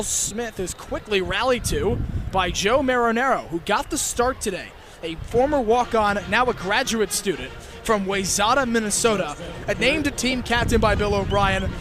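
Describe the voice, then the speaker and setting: A young American man doing live radio play-by-play of a football game as a student broadcaster, excitable and given to shouting at big plays. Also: extremely high pitch. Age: young adult. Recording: loud stadium and outdoor noise behind.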